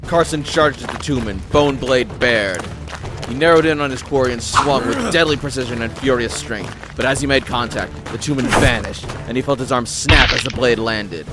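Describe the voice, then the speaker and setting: A man's voice: mid-range voice, moderate pace, background noise. A man giving a theatrical, intense scripted narration as a storyteller. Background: music.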